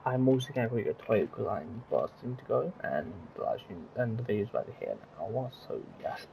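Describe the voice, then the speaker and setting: A man giving casual gameplay commentary as a streamer, rambling and halting, often repeating himself. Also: lowish voice, very animated, gravelly, mellow, a little hiss or hum, poor audio. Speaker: game streamer.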